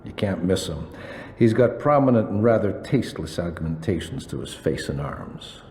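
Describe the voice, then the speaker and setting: Video game villain: cold, articulate crime boss, measured and formal, very deep, long reverb with noise behind. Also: male voice, sing-song, mellow. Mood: calm, calculating. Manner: formal, measured, precise wording. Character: cold, menacing, articulate crime boss man hiring a killer. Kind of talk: video game cutscene dialogue acting. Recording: echoey reverberant space with background noise.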